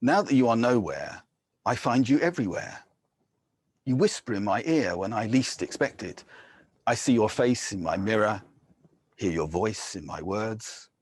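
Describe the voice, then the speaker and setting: Husky low-voiced man reading poetry. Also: animated, no echo. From England. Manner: measured, with soft hesitant fillers between poems. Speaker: poet.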